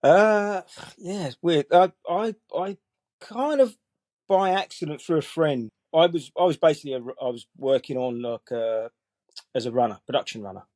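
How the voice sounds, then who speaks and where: A man in his forties, a guest on a podcast, talking in a relaxed, rambling way full of fillers and restarts. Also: a little high, moderate pace, no room sound. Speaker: guest colorist. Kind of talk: casual interview chat.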